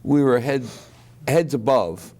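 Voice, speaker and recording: A man's voice: mid-range voice, slow, a little mellow. A man whose first language is Portuguese, hosting a casual local talk show and telling stories with quoted asides. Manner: chatty, storytelling with quoted asides. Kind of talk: casual chat.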